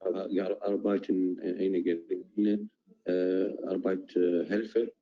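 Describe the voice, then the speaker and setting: A man speaks slowly and haltingly in a low, very dark voice. He hesitates often, padding his answers with fillers. The sound is dull and lo-fi, like an online call. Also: level tone. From Iraq. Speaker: project participant. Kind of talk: interview answers in learner German. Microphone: video call.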